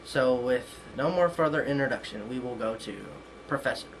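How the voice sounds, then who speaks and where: A man's voice: mid-range voice, animated, moderate pace, background noise. A young man hosting an interview show, introducing it in a formal, announcer-like way. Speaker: interview host.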